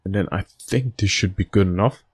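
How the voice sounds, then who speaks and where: A man with a slightly raspy, stuffed-up voice giving casual gameplay commentary, thinking aloud in a halting way. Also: deep voice. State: stuffy nose after being sick. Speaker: gaming video host.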